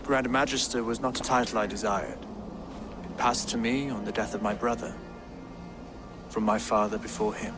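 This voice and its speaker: An actor playing a pious, serene deposed English king in a video game, speaking formally and with measured solemnity in an English accent. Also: lowish voice, steady tone, close to an everyday voice. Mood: solemn, reflective. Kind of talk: dramatic video game acting. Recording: game audio with music underneath. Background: music.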